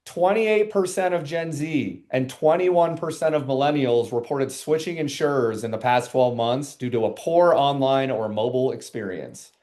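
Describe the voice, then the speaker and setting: Energetic man in his forties presenting. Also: medium pitch, moderate pace, hardly any pauses. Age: 40s. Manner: energetic, asks the audience questions. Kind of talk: webinar presentation with banter.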